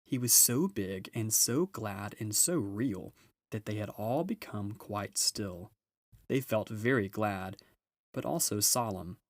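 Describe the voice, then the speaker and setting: A man's voice: on the low side, lively intonation, takes it slowly, dry recording. A man reading a children's novel aloud as scripted narration. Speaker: storybook reader.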